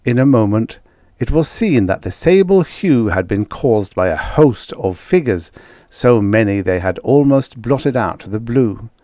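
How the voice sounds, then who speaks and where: A man reading vintage science fiction aloud as an audiobook narrator, in a measured, dramatic storytelling manner with emphatic stresses. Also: medium pitch, expressive, mellow, dry recording, clean studio sound.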